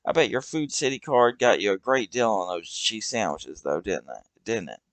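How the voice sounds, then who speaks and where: A man voicing a chatty old male vagrant who talks loudly and mockingly. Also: on the low side, expressive, normal speed, dry recording. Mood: lecturing.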